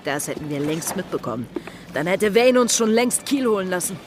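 A woman's voice: fairly low pitch, expressive, a little fast, slight background noise, lightly acted. An actress playing a scheming, determined female pirate in a radio drama, speaking with urgent resolve. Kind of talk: German radio drama acting. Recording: music underneath.

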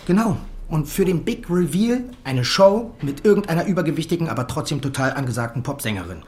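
An actor playing a slick, self-assured advertising creative in a naturalistic radio drama, glib and full of jargon. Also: male voice, on the high side, animated, a little fast, darkish tone, natural voice. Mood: pitching.

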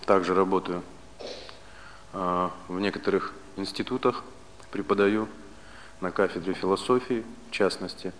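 Deep-voiced man lecturing in a reverberant hall. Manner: deliberate, didactic, short phrases with pauses and repetition. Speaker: spiritual teacher and philosophy lecturer. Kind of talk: lecture on Vedic philosophy to a live audience. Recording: reverberant hall, loud steady background noise.

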